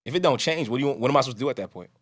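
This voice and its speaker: A man's voice: medium pitch, animated, rapid-fire. A man hosting a podcast, talking casually with a strong voice, rambling and restarting his sentences. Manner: loose, rambling, with many restarts. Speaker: podcast host. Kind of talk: casual conversation.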